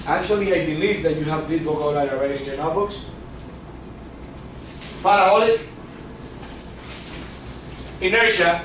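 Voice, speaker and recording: Physics teacher, male, Cuban accent, high voice, heard from far off in an echoey classroom. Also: fairly bright tone, slight background noise. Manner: checks in with students often. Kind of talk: classroom lecture.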